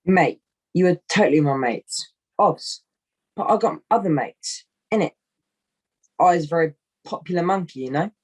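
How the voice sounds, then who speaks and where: A man's voice: on the high side, normal speed, long pauses, breathy edge. Puppet show role: cocky young monkey lad, male performer, street slang, full of himself. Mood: cocky, breezy. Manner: slangy street talk, tags questions with innit. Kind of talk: online puppet show acting.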